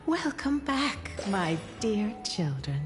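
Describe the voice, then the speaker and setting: A woman's voice: mid-range voice, sing-song, slow, faint music behind. A woman voicing a wise old fairy-creature chief in a video game, cryptic, poetic and motherly, often dropping toward a whisper. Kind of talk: video game character acting.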